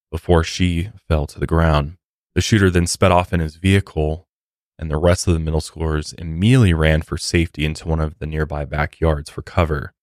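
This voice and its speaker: A man's voice: deep voice, no room sound, studio quality. A man with an American accent hosting a true crime podcast, moving between scripted storytelling and casual commentary in a somber manner. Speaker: podcast host. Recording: faint music behind.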